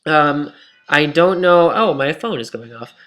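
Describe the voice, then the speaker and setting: A man's voice: on the high side, lively intonation, a little slow, fairly bright tone. A young man commentating his own online game match in a casual, self-critical, rambling way, his voice tired and scratchy. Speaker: gaming commentator.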